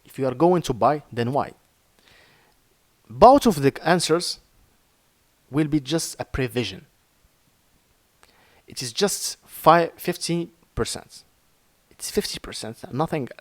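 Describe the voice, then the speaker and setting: A man from Morocco with a Moroccan accent, whose first language is Arabic, giving an earnest, halting lesson on trading with many fillers and restarts over his screen. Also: fairly high pitch, lively intonation, no echo. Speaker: crypto trading teacher. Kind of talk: informal screen-share lesson.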